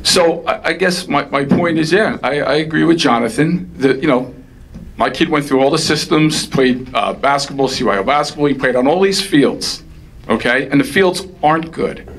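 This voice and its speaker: Rapid, rambling man at a council meeting. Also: on the high side, a bit bright, poor audio. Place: township council meeting room.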